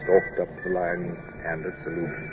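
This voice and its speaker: An actor playing a reserved, gruffly tender naval commodore in a radio drama, speaking in a clipped, formal, hesitant way. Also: male voice, sits low, monotone, normal speed, music in the background, lightly acted. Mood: proud, reflective. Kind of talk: old-time radio drama acting and narration. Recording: dull, noisy old radio recording.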